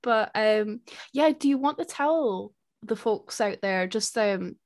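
A woman's voice: medium pitch, expressive, slow, a little mellow. A woman co-hosting a podcast in casual, laughing chat that drops swear words freely. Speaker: podcast co-host.

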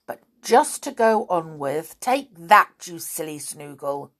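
A woman's voice: low-pitched, very animated, takes it slowly, exaggerated voice. A woman voicing a bold, boastful male pixie in a children's storybook, scolding indignantly and emphatically. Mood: defiant.

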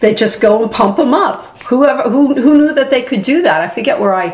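A woman's voice: high-pitched, fairly quick. A woman from Boston with a Boston accent, co-hosting a podcast in casual chat, rambling and restarting her thoughts. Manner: rambling, restarts her thoughts, quotes others in animated asides. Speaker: podcast co-host. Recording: echoey room.

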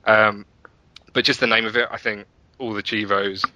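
A man's voice: lowish voice, flat delivery, normal speed, pushed and bright, dry recording. A man speaking as an interview guest, chatting casually and telling stories with enthusiasm. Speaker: podcast guest, app and website developer.

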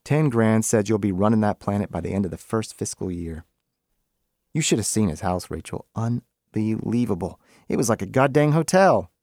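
A blunt, proud working-class man read by a male author in a short story. He talks in a gruff, colloquial, teasing way, and the recording is dry and close. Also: lowish voice, animated, normal speed, a little mellow, dry recording. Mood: impressed.

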